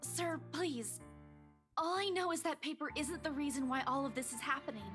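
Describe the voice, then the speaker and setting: A woman's voice: high-pitched, moderate pace, fairly bright tone. A woman voice-acting a distressed, pleading young woman in a visual novel game, hesitant and stammering. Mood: apologetic, pleading. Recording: music behind the voice.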